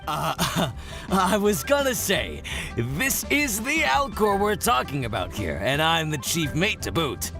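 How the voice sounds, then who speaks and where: A hearty male chief mate from a video game, with a crackly edge to his voice. His delivery swings widely in pitch as he teases and chats in a folksy way. Music plays behind him. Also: very animated, slow pace, gravelly, heavily acted. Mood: teasing. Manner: chatty, teasing, casual and folksy. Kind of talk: animated video game voice acting.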